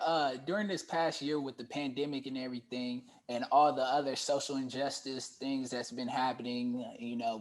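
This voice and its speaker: A man hosts a relaxed conversational interview. He is supportive and a little hesitant, often repeating phrases as he works toward his question. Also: medium pitch, rough recording. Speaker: interview host.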